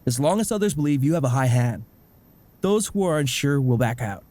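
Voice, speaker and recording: A man playing a cheerful, easygoing middle-aged dad in a webcomic dub, jovial and expressive. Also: medium pitch, fairly quick, close to an everyday voice. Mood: encouraging. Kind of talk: webcomic fan dub acting.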